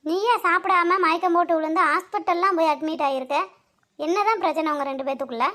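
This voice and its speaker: A high-pitched, middle-aged Tamil mother-in-law from a cartoon soap parody, acted by a performer. She nags and complains in an exasperated, sarcastic, colloquial way. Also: quick pace, sharp tone. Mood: concerned.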